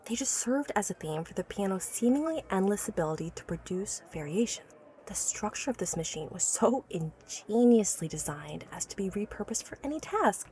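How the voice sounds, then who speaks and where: A woman's voice: mid-range voice, darkish tone, a little hiss or hum. A woman playing an obsessive, learned inventor who recounts her life's work earnestly and articulately in an audio drama. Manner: articulate, earnest, stammers when awed by her subject.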